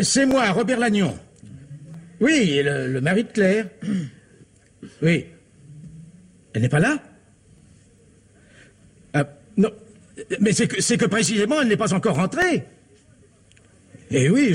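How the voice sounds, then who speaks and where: A man's voice: high-pitched, husky, full character voice. An actor playing an anxious, irritable husband in his fifties, stammering and exclaiming in the style of old French radio drama. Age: 50s. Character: anxious, irritable middle-aged husband and father, Robert.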